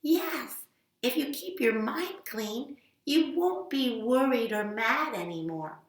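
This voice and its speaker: A woman voicing a gentle, wise sheep. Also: high voice, lively intonation, pitched above the performer's own voice. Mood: soothing. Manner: soft, soothing, unhurried. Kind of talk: improvised children's story. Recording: roomy echo.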